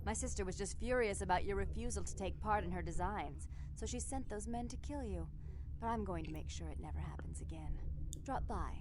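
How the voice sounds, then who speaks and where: A woman's voice: medium pitch, lively intonation, a little fast, background noise, natural voice. A woman playing a haughty, controlling vampire club owner in a video game, speaking in a formal, imperious, clipped manner. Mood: cold, composed. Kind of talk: dramatic video game voice acting.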